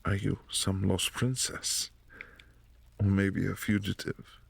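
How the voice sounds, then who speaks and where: A man playing a possessive, imperious vampire prince in a boyfriend ASMR roleplay, speaking in a formal, commanding, softly menacing way. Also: low voice, level tone, slow, vocal fry, husky, no room sound, full character voice. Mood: cool, imperious.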